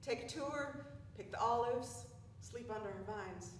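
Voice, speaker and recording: Casting: actress for rapt, incantatory female stage chorus, higher register, distant mic. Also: a little slow, lightly acted. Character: entranced female chorus member of devout commune. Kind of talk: live stage play chorus. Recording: reverberant hall, distant, faint noise.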